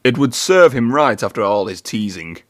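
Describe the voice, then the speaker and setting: A man's voice: mid-range voice, expressive, moderate pace, no room sound, close to an everyday voice. Man voicing a sensible, dry-humoured steam engine. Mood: disapproving.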